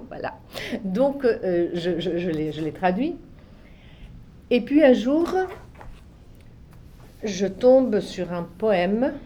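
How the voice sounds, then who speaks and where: An older woman from Egypt, a literary translator with both Arabic and French as native languages, recalling her work in a reflective way with many restarts and soft trailing phrases. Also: medium pitch, lively intonation, very slow, long pauses, lo-fi sound, slight background noise. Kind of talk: relaxed spoken recollection.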